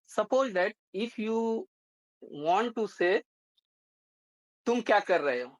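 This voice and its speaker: A man from India who speaks as his language, practicing English with a tutor in earnest, halting sentences. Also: sits high, expressive, no echo, low-quality recording. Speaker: English learner.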